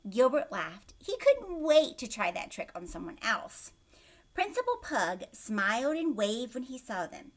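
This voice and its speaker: A woman reads a children's story in a high, very animated voice. She takes her time, stepping aside from the text to explain and put questions to young listeners. She sounds like a teacher at storytime, expressive and clear in purpose. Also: unhurried. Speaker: teacher reading a picture book aloud.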